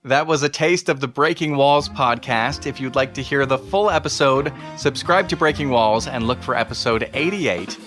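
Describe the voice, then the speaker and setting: A man's voice: medium pitch, moderate pace, hardly any pauses, fairly bright tone. Podcast host guy, medium voice, scripted outro, guitar playing behind him. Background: guitar music.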